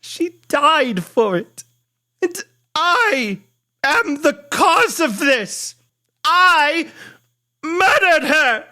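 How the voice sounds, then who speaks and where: A narrator voicing an anguished, guilt-ridden gentleman scientist, declaiming theatrically with drawn-out, impassioned despair. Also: high voice, sing-song, pitched above the performer's own voice, heavily acted. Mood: grief-stricken, tearful.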